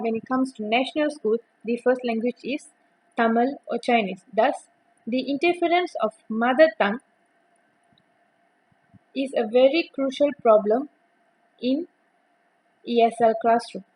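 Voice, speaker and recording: A young woman reads a prepared academic presentation aloud. Her voice sits a little high and has a husky, breathy edge. She keeps a steady reading pace and sometimes restarts a word. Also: rough recording. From Malaysia.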